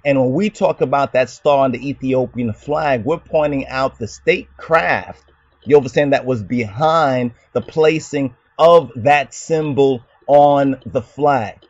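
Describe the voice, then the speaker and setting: A man talks right up against the mic in a dry, slightly dull recording. He lectures and argues point by point, firing rhetorical questions at his listener. His pitch sits in an ordinary middle range for a man. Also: measured pace, dry recording. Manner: lecturing, rhetorical questions, repeats "you understand". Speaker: channel host answering a commenter. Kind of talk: argumentative commentary monologue.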